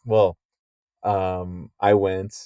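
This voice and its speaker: Young dude with a real deep mellow voice, swearing a lot, deadpan jokes, dry close mic. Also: male voice, very slow, clear, resonant tone, no room sound. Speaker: podcast co-host. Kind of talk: casual chat.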